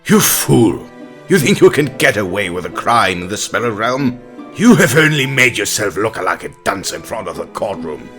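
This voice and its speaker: Casting: male actor as a wrathful spirit-court official, lowish voice, very animated, scornful and declamatory, faint music beneath. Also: moderate pace. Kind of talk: audio drama acting.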